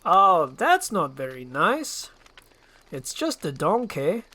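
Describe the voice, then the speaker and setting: Woman playing a sardonic ogre, lively pitch swings. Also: slow, full character voice. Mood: dry. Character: gruff, sardonic male ogre.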